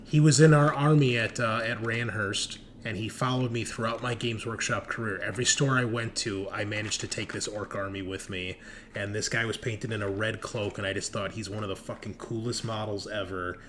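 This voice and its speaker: A man's voice: on the low side, fairly even intonation, fairly quick, fairly bright tone. Casual sweary man with faint background noise. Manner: profane, enthusiastic, slangy. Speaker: host and game master. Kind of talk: casual chat.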